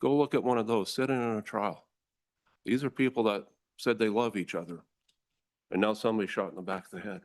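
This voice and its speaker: A deep, low-pitched American man testifies before a legislative committee. He speaks with forceful conviction. He addresses the room directly, like a prosecutor making his case. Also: brisk. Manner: forceful, earnest, addresses the room as ladies and gentlemen. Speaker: district attorney testifying.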